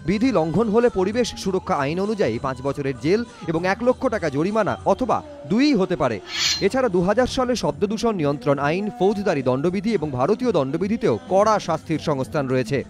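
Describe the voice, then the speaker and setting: A man's voice: high-pitched, sing-song, a little fast. A man reading scripted news narration in a dramatic, emphatic way. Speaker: news reporter. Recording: music bed under voice. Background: background music.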